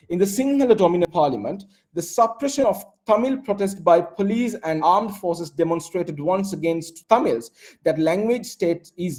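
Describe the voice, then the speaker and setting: A man's voice: high-pitched, darkish tone. Sri Lankan man reading a paper. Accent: Sri Lankan English. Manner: reads in measured phrases with frequent "uh" fillers. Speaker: conference presenter.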